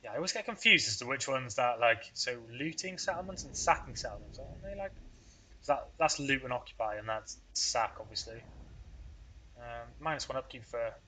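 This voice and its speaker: A man narrating his strategy game session in a loose, rambling commentary, talking his moves through out loud. His voice is bright and forward, sitting at an ordinary pitch, and he often pokes fun at himself. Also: expressive, brisk, a little hiss or hum, low-quality recording. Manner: rambling, thinks aloud, self-deprecating. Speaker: let's play host. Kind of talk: casual gameplay commentary.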